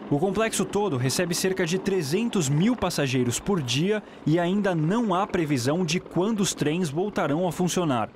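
A man's voice: high voice, lively intonation, noisy background. Male field reporter on a city street. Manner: measured, formal delivery. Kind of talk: scripted news report. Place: outdoors on a city street by a train station. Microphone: handheld reporter mic.

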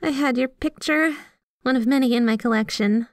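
A woman's voice: high-pitched, moderate pace, no echo, studio quality, lightly acted. A woman playing a sweet, obsessively devoted yandere girlfriend in a scripted ASMR roleplay, gushing and bashful as she speaks to the listener. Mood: embarrassed. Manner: gushing, giggly, teasing, bashful asides.

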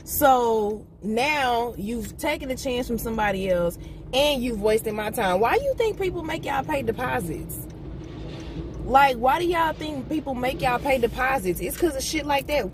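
A woman's voice: high-pitched, moderate pace. A young woman vlogging from inside a parked car at night, ranting bluntly and profanely to her viewers. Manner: blunt, profane, animated rant. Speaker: vlogger, entrepreneur. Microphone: phone camera on the dashboard. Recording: car interior with clear noise.

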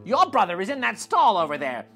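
A man voicing a gnarled, cruel old witch in a high, cackling crone voice for a read-aloud storybook. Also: wildly swooping pitch, a little fast, sharp tone, faint music behind, heavily acted. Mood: harsh. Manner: high cackling crone voice, wheedling then barking.

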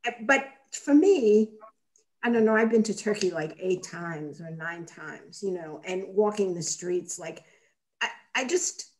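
A woman's voice: medium pitch, unhurried, darkish tone, rough recording. Older woman, Armenian first language, video call, casual storytelling. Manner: chatty, anecdotal, many "you know"s. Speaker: participant. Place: home kitchen at night.

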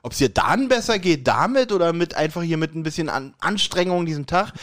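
A man's voice: on the high side, expressive, fairly quick, a bit bright, dry recording. A man co-hosting a podcast in casual chat, joking in a dry, tongue-in-cheek way. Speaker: podcast co-host.

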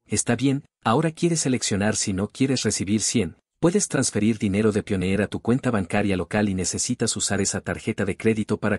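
A man with a low, slightly husky voice talks viewers through a screen-recording tutorial. He speaks casually, repeats himself, and keeps calling the audience "guys". The recording is close and dry. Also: a little mellow, no room sound. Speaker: tutorial host.

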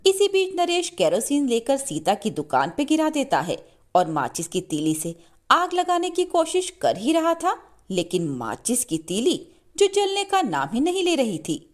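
A woman narrates a children's story in a fairly high voice. Her delivery is scripted and expressive, with lively swings in pitch. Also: unhurried.

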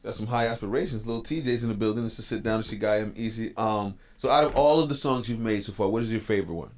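Brief: man, 30s, radio host, rapid slangy chat into a studio mic. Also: on the low side. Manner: quick, upbeat, slangy questions. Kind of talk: casual interview. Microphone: studio mic on boom arm.

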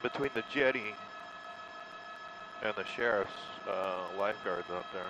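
Low-voiced man narrating a live chase. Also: unhurried, noisy background. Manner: offhand running commentary with fillers. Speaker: news helicopter reporter. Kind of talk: live breaking-news commentary.

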